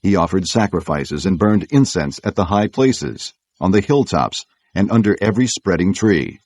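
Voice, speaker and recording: A man narrating scripture from a script in a solemn, measured storytelling manner. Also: low voice, expressive, darkish tone, no room sound.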